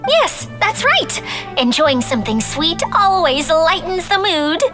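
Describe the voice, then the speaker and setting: Sweet little game mascot creature, piping high voice, unhurried, gentle, clear music behind. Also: female voice, very animated, full character voice. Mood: cheerful, soothing. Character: small sweet, cheerful magical mascot creature. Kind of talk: animated video game acting.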